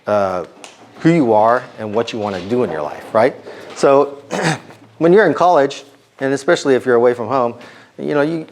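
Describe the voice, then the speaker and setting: A middle-aged man with a slightly hoarse voice, giving an informal sermon to students in a conversational way, with many ums and restarts. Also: mid-range voice.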